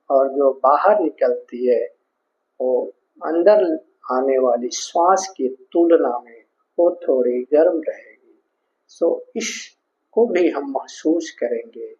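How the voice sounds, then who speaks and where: A man with an Indian accent leading a guided meditation as a teacher, giving instructions in short phrases with pauses. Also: on the high side, a little mellow. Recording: dull lo-fi sound.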